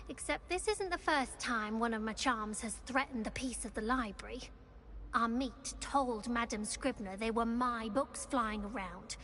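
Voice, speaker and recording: A woman's voice: fairly high pitch, sharp tone, slight background noise. A woman voicing a polite, flustered teenage witch student with a British accent in a video game, chatty and apologetic. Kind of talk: scripted video game dialogue.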